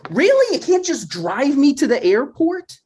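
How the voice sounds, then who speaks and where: A man's voice: very high-pitched, wildly swooping pitch, a little slow, a little mellow, exaggerated voice. A man playing a neurotic, touchy son in his thirties, argumentative and dry, in a scripted comedy table read. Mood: agitated. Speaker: writer and actor in a table read.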